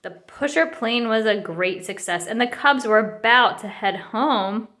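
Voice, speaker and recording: Lively high-voiced woman reading a storybook. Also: a little slow. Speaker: storybook reader. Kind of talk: expressive children's read-aloud narration.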